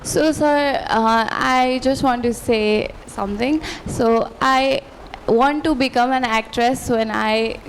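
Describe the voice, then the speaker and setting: A woman's voice: on the high side, steady tone, slow, a little hiss or hum, rough recording. Hesitant young woman from Nepal. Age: young adult. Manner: hesitant, many fillers. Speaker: student asking a question. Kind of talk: asking a question at a panel.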